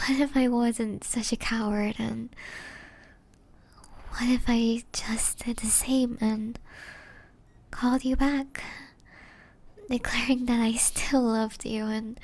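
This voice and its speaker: A woman performs a remorseful young ex-girlfriend in an intimate ASMR roleplay. She whispers breathily and tenderly in a fairly high voice, taking her time over every phrase. Her melody stays very flat and gentle, with small hesitations. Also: vocal fry, heavily acted.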